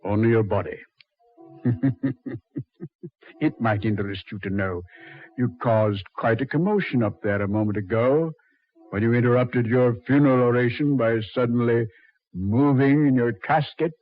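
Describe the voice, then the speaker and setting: An actor playing a suave, mocking Devil in a measured, mock-polite 1940s radio horror style, on a muffled old recording. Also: male voice, mid-range voice, close to an everyday voice. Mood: sardonic. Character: the Devil, suave, mocking, coldly taunting male master of hell.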